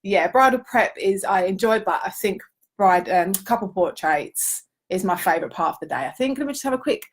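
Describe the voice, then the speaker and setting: A woman's voice: medium pitch, lively intonation, fairly quick. A woman co-hosting a casual question-and-answer chat as a wedding photographer, chatty and giggly in manner.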